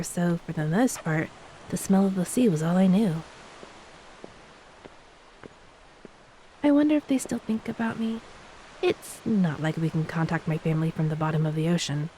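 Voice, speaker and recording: A woman playing a gentle, playful ex-mermaid wife in a romantic audio roleplay, speaking tenderly and teasingly to a beloved spouse. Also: fairly low pitch, a little fast, close to an everyday voice, low-quality recording. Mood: wistful, nostalgic. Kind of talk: romantic audio roleplay, intimate scripted acting. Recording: steady hiss behind the voice.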